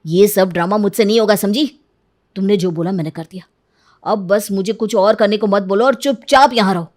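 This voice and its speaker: A woman's voice: mid-range voice, fairly quick, a little mellow, voice pushed down, natural voice. A woman voicing a guilty, defensive husband who speaks curtly. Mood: irritated. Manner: curt, tense and defensive.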